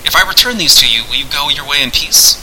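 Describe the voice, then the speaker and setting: A man reading a fantasy tale plays an earnest, wary male adventurer. He speaks with wry formality in old-fashioned phrases, in a very bright, forward voice over faint hiss. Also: fairly high pitch, animated, poor audio. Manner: formal, measured archaic speech.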